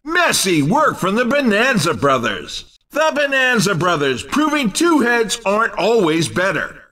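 A man voicing an excitable, cheeky race commentator in a video game, exclamatory and teasing. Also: high-pitched, a little slow, recorded from a distance, heavily acted. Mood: excited, teasing.

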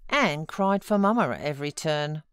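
Woman playing a frank, low-voiced British housekeeper. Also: lively intonation, clean tone, no room sound, clean studio sound. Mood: coaxing. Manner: brisk advice, coaxing and chiding.

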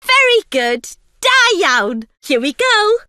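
A woman's voice: sits high, sing-song, heavily acted. A woman voicing a cheerful young female bug with a Welsh accent in a children's cartoon, talking in a bouncy sing-song way. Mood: teaching. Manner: bouncy, sing-song, teaching words slowly. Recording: music playing underneath.

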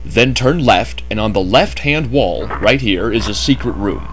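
A man's voice: fairly low pitch, lively intonation, measured pace. A man narrating a game walkthrough in a chatty way with jokey asides, just woken up. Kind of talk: casual gameplay commentary.

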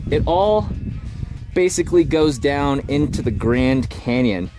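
A man's voice: mid-range voice, expressive, a little slow, over music. Rambling man vlogging from his van. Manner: rambling, upbeat, thinks aloud with fillers. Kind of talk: casual travel vlog. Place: inside a van and outdoors at a roadside overlook. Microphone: handheld camera at arm's length.

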